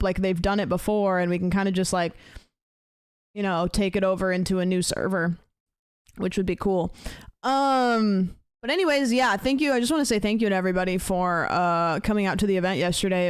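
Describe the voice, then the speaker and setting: A woman's voice: medium pitch, clean tone, no room sound, studio quality. A woman in her late twenties, a streamer chatting casually with her viewers, rambling with lots of fillers. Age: late 20s.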